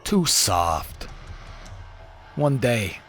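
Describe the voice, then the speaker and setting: A man's voice: medium pitch, very animated, extremely slow, fairly bright tone, soft background music, exaggerated voice. A man playing a ruthless, disloyal fighting-clan member in a visual novel, speaking with contempt. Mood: cold contempt.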